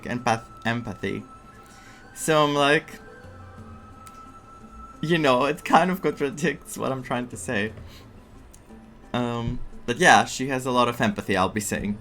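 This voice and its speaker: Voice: medium pitch, a bit bright. A game streamer giving casual, chatty commentary on a story playthrough in an informal, reactive way. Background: game music.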